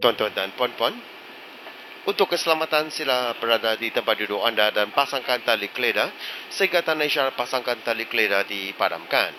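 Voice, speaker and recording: A man reading scripted cabin crew announcements in a formal, measured manner. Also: mid-range voice. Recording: loud aircraft cabin background noise.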